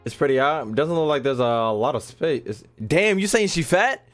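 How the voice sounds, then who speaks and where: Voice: mid-range voice, big pitch swings, normal speed. A gaming commentator, reacting in casual slang with joking exclamations. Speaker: gaming video creator reacting to gameplay. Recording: game music behind the voice.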